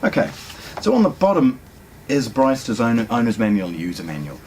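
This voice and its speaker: A man's voice: mid-range voice, huge pitch swings, fairly quick, background noise. A middle-aged man, presenting a casual product unboxing in a hi-fi store, chatty and enthusiastic with frequent ums and restarts. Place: hi-fi store, indoors.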